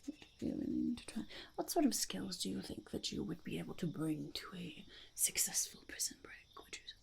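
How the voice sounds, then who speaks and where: A woman voicing a quirky, scheming goblin interviewer in a whispered ASMR roleplay, halting and muttering, often repeating phrases. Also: on the low side, very animated, full character voice. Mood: inquisitive, scheming. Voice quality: breathy whisper with heavy creak. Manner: halting, muttering, repeats phrases, speaks of self in third person.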